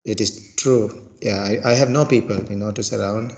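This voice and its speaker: A man's voice: fairly low pitch, fairly even intonation, moderate pace, a little mellow. A middle-aged man from Sri Lanka with a Sri Lankan accent and Sinhala as his first language, practising English in a casual chat, full of fillers and restarts. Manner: hesitant, many fillers and restarts. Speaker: English learner.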